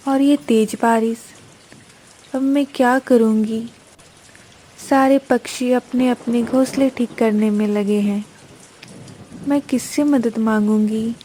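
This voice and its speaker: Lady doing a worried mama bird for a cartoon, real high soft-toned voice, pleading, rainy noise behind. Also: fairly even intonation, slow, long pauses, poor audio. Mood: worried, helpless. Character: anxious, pleading young mother bird, female. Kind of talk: dramatized Hindi children's cartoon story.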